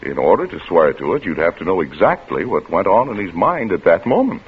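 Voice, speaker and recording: An actor playing a patient, skeptical police chief in his thirties in a 1940s radio drama, speaking in a measured, firm, reasoning way. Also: low-pitched, big pitch swings. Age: 30s. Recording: muffled, noisy old radio recording.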